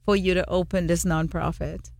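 A woman's voice: lowish voice, level tone, normal speed, vocal fry, darkish tone, dry recording, studio quality. Chatty woman radio host from Trinidad. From Trinidad and Tobago. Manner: chatty, storytelling, encouraging. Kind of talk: casual radio interview.

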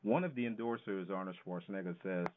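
Male expert guest, low register, emphatic explainer with rhetorical questions, phone-quality audio. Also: fast. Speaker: financial educator, interview guest. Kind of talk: explanatory radio interview talk. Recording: narrow, tinny phone-line sound.

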